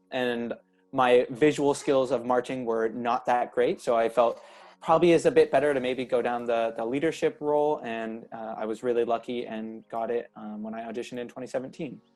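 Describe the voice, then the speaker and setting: A man's voice: medium pitch, darkish tone. A man in his twenties from Northern California, a drum major, answering interview questions over a video call, hesitant and earnest, with many fillers. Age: 20s.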